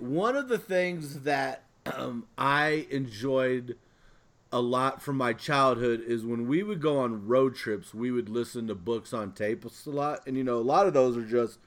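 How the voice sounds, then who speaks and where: A man's voice: mid-range voice, unhurried, pushed and bright. Casual man with a mid-range voice. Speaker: podcast host. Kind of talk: casual chat.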